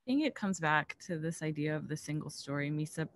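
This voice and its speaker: A woman's voice: deep voice, animated, a little fast, no room sound. A woman in her thirties on a video call as a panelist author, talking earnestly outdoors with many likes. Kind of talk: casual panel discussion. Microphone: webcam on video call.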